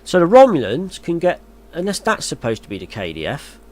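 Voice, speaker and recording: A man's voice: fairly high pitch, wildly swooping pitch, a little fast. A man reading gaming news aloud as a YouTuber, then musing in a hesitant, chatty way. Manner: muses aloud, hesitant and chatty when commenting. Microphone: voice-over on a screen recording.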